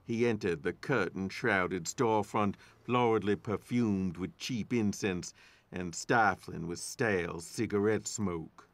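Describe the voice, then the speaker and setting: An actor playing a jealous, snobbish husband narrating an audio drama in a formal, measured way. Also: male voice, lowish voice, no room sound. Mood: bitter. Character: jealous, snobbish husband recounting his wife's deceit.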